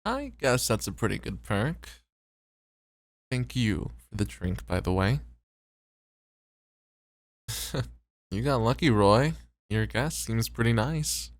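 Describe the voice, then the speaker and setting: Conscientious, self-doubting host for ASMR roleplay, played by a man: sincere, fry-edged voice, very dry close recording. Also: mid-range voice, very animated, a little slow, no room sound. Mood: friendly. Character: conscientious, self-doubting male host, weary but devoted. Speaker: voice actor.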